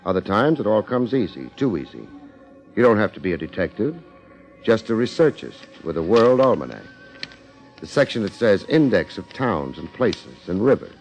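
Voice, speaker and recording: A man's voice: fairly low pitch, big pitch swings, normal speed, darkish tone, faint music behind. A man acting as a wry, persuasive hard-boiled private investigator, clipped in delivery, in 1950s radio detective style. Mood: wry, brisk narrating. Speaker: lead actor and narrator of detective drama. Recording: dull old 1950s radio broadcast recording.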